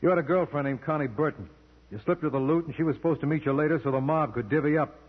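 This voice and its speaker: An actor playing a cynical, wisecracking American lawyer-sleuth in an old-time radio detective drama, with a dry, sardonic delivery. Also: male voice, a little high, quick pace, slightly rough. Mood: accusing. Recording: dull old radio broadcast with music.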